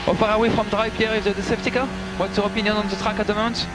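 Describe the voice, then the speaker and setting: A man's voice: sits high, level tone, rapid-fire, very noisy. A male race engineer giving terse instructions over team radio, clipped and repeating key commands. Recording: motorsport radio with loud background sound.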